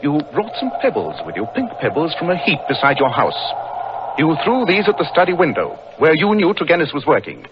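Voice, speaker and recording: Through heavy noise on a muffled old radio recording comes a British detective, fairly high-voiced and very animated. He is commanding and coolly deductive, with crisp, theatrical authority. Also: a little fast. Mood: coolly accusing. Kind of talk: 1940s radio drama acting.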